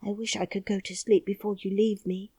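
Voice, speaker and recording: A woman voicing a shy young boy lying in bed, speaking softly. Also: mid-range voice, little pitch movement, brisk, breathy edge, dry recording, pitched above the performer's own voice. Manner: shy and drowsy.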